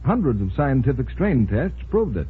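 A narrow, faintly noisy vintage radio recording of a male announcer. He delivers scripted 1940s sales copy in a polished, emphatic way, with lively pitch movement. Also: normal speed.

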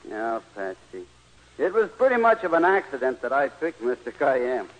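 An actor playing a meek, earnest greeting-card poet, hesitant and apologetic, in 1940s radio comedy style on a muffled old broadcast. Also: male voice, mid-range voice, very animated, moderate pace. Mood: regretful.

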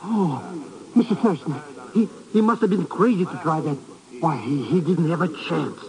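A man's voice: on the high side, moderate pace, crowd noise, lightly acted, rough recording. An actor playing a nervous, flustered male sidekick who stammers, in a 1950s radio drama. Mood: shaken.

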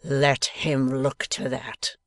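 A man's voice: a little high, fairly even intonation, measured pace, a bit bright, dry recording, clean studio sound. A man voicing a tense schoolmaster in a novel, intense and impatient, with rage held barely in check. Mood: concentrated rage. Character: tense, haggard schoolmaster seething with repressed rage. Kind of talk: dramatised novel reading.

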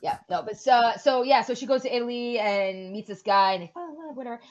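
A woman's voice: on the high side, fast talker, a little mellow, highs cut off. A woman in her forties, co-hosting a podcast and chatting casually, rambling and swearing freely. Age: 40s. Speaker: podcast co-host. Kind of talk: casual chat.

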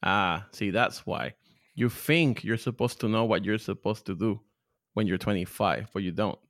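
A man's voice: fairly low pitch, normal speed, fairly bright tone, no room sound, clean studio sound. A man in his thirties from Puerto Rico, a comedian guest talking casually and self-deprecatingly on a podcast. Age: 30s. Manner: self-deprecating, laid-back, rambling.

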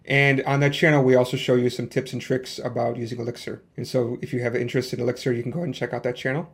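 A man in his thirties with a Chicago accent talks alone into the mic as a podcast host. He wanders from point to point, dropping "uh"s and starting sentences over. His voice sits at an ordinary middle pitch for a man. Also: level tone, very fast. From Chicago, USA. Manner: rambling, with many fillers and restarts. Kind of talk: casual solo podcast monologue.

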